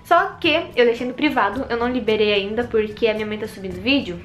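Giggly young woman talking to her camera at arm's length, high voice, faint music behind. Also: fast. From Brazil. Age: young adult. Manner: bubbly, chatty, giggly. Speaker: vlogger. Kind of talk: casual vlog chat to camera. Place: small bright bedroom and kitchen indoors. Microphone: handheld camera at arm's length.

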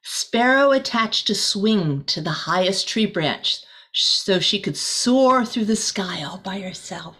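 A middle-aged woman reading a children's storybook aloud as a teacher, expressive and deliberate, with small asides to the listeners. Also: mid-range voice, husky. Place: small room indoors.

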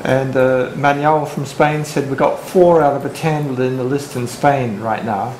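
A man's voice: medium pitch, steady tone, normal speed, a little mellow. Man moderating a seminar, echoey room. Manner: relays online comments, filler-laden. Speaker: discussion moderator. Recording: echoey room, background noise.

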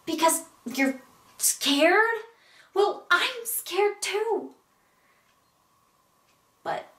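A woman playing a wounded, insistent lover in a dramatic stage monologue, intense and emphatic, with halting pauses. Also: high voice, a bit bright, exaggerated voice. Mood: pleading. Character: wounded, insistent young woman confronting her beloved. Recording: echoey room, distant mic.

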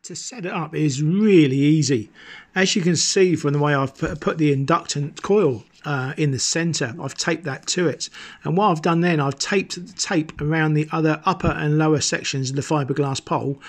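A middle-aged man explaining and demonstrating as a hobbyist presenter, in measured short phrases with pauses. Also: a little high, dry recording. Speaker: amateur radio hobbyist presenter.

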